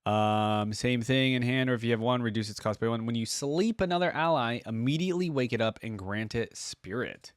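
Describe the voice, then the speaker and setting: A man's voice: mid-range voice, a little fast, hardly any pauses, dry recording, studio quality. A man giving casual reaction commentary as a video host, informal and musing as he thinks aloud. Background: music from the videos being played.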